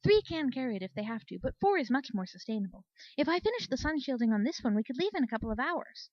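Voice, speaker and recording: A woman's voice: sits high, big pitch swings, quick pace, a little mellow, dry recording, muffled recording, voice pushed up. A woman voicing a bookish, cheerfully oblivious unicorn mare inventor in a storybook, earnest and explanatory. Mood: matter-of-fact.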